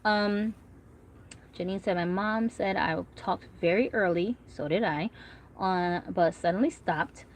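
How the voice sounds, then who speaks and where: A woman in her thirties who grew up speaking Korean chats through a livestream in a loose, rambling way. She answers viewers one by one and leans on fillers as she goes, with her voice sitting in a middling pitch. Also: measured pace, slight background noise. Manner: chatty, rambling, frequent fillers. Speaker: doll collector vlogger. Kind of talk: casual livestream chat reading comments.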